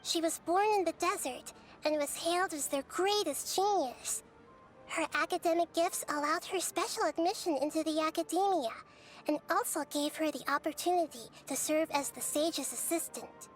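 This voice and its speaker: A woman voicing a small, wise, gentle girl deity in a video game, speaking in a measured, thoughtful way. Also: very high voice, faint music behind. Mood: reflective.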